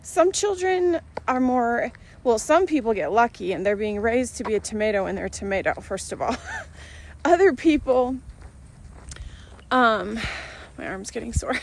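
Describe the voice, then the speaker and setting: A woman's voice: fairly high pitch, big pitch swings, measured pace, long pauses, a little hiss or hum. A middle-aged woman chatting casually and in a rambling, giggly way as she films a vlog. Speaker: vlogger. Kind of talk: casual chat to camera.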